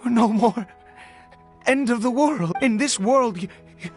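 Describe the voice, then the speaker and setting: Video game role: fervent, desperate father, slow and halting, theatrical, high voice over music. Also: a little mellow, full character voice. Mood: anxious, soothing. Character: desperate, fervent grieving father, fanatically devoted. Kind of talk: dramatic video game voice acting. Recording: background music under the voice.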